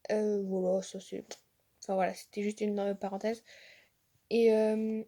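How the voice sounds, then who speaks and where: A young woman, a student, vlogging from her bedroom on her phone, slightly hoarse from a sore throat, rambling with many fillers and restarts. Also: medium pitch, level tone, measured pace, mellow. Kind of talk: casual vlog chat. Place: bedroom at home. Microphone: phone held at arm's length.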